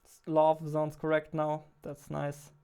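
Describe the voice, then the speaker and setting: A man in his twenties, a game developer, casually thinking aloud in a halting mutter as he works at his desk with a headset on. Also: a little high, completely flat delivery, gravelly, mellow, clear, resonant tone. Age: 20s. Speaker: game developer, host of a devlog. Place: indoors at a desk.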